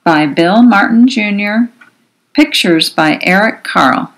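A woman reading aloud to young children as a storyteller, in an expressive, singsong and emphatic manner. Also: mid-range voice. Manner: singsong, deliberate, emphatic delivery. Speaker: children's read-aloud host. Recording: music behind the voice. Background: music.

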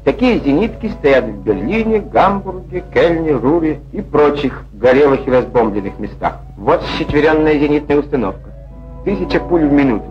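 High, animated male 1940s newsreel narrator. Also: normal speed. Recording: dull 1940s newsreel film soundtrack. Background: music.

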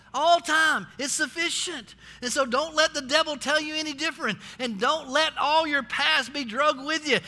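A man's voice: very high-pitched, bright, forceful tone. A middle-aged American man preaching a sermon as a pastor, emphatic and exhorting, repeating phrases to drive them home. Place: large church stage, indoors, amplified. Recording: echoey hall reverb, faint music.

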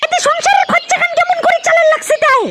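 A performer voicing a scolding, sarcastic village mother in a comedy cartoon, with a North Bengal accent and an artificially pitched-up voice. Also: steady tone, quick pace. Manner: sarcastic, nagging, coarse-mouthed. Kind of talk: comedy cartoon dialect dialogue. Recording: loud background noise with clicks.

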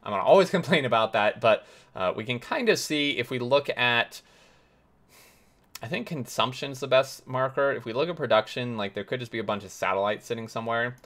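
Hoarse, enthusiastic man touring a game base. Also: medium pitch, brisk, fairly bright tone. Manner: enthusiastic, rambling with many fillers. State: hoarse, losing his voice. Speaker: gaming video host. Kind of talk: casual game tour commentary.

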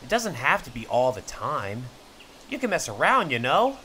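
A man's voice: mid-range voice, wildly swooping pitch, normal speed, bright, forceful tone, a little hiss or hum. A man voicing a laid-back, goofy young reptile camper in a comic dub, playful and carefree. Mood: easygoing. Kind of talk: comic dub character acting.

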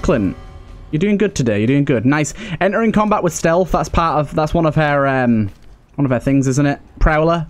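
A man's voice: on the high side, darkish tone. A man giving casual, chatty gameplay commentary as a let's play host, thinking aloud with mild understatement. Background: video game music.

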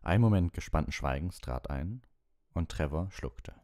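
A man's voice: a bass voice, lively intonation, normal speed, dark tone, no room sound, clean studio sound. A man reading a story aloud as an audiobook narrator. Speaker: audiobook reader and streamer.

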